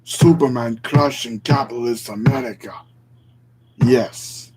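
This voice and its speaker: A man's voice: medium pitch, steady tone, measured pace, noisy background, close to an everyday voice. A man voicing a boastful mock Russian communist in a put-on Russian accent, clipped and exaggerated. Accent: fake Russian accent.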